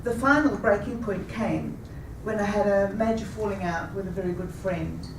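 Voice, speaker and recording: A woman in her fifties telling a rehearsed personal story with a measured, deliberate delivery. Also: mid-range voice, noisy background. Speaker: speaker. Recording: echoey room, taken from a distance.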